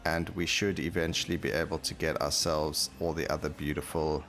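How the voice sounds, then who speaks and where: Low-voiced man commentating a video game. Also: level tone, fairly quick, a bit bright, faint music behind. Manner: thinking aloud, explaining choices as he plays. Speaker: gaming YouTuber.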